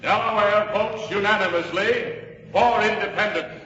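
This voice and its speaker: An actor playing a proud colonial delegate, declaiming formally in 1940s radio drama style. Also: male voice, sits high, fairly even intonation, a little slow, sharp tone, a little hiss or hum. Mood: proud, resolute. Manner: declamatory, ringing. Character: proud colonial delegates proclaiming their votes. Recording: distant, very echoey muffled old radio hall.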